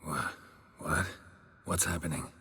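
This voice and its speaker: A man voicing a gruff middle-aged monster-hunter in a video game, with a North American accent, a gravelly rasp and a terse, deadpan delivery. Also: a bass voice, a little slow, in a large hall, full character voice. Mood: groggy, puzzled. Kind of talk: video game cutscene acting.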